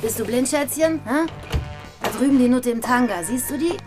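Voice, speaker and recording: A woman's voice: fairly high pitch, moderate pace, music in the background, lightly acted. A woman acting a hard-boiled, condescending sex worker in her twenties in a German radio drama, mocking and bossy. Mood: scornful. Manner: mocking, street-tough, bossy.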